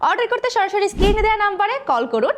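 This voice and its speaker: Bangladeshi lady doing a salesy ad voiceover, super high voice, really animated. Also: rapid-fire. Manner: salesy, addressing the buyer directly. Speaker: product advertiser. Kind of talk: scripted promotional voice-over.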